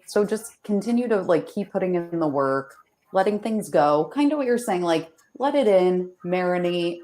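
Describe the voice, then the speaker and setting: Female podcast co-host, relaxed offhand chat, a touch scratchy, lower register. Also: moderate pace. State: slightly scratchy throat.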